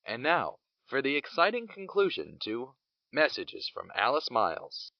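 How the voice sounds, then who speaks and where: A man's voice: medium pitch, very animated, measured pace, creaky. A man playing a hammy announcer in a mock radio commercial within an audio drama. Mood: salesy. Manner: hammy, salesy, showy rises. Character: overblown male commercial announcer. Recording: lo-fi recording with guitar music.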